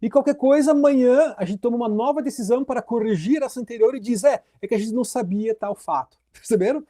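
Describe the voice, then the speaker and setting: A man's voice: very high-pitched, fast talker, mellow. A middle-aged man giving a law lecture, talking casually to his students and checking in with them often. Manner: conversational, addresses students directly with frequent tag questions. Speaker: law professor. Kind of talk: recorded lecture on legal theory.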